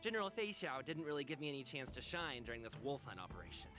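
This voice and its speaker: A man's voice: medium pitch, sing-song, fast talker, lightly acted. A man voicing a boastful, eager young fighter in a video game, cocky and playful. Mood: bragging. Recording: game music behind.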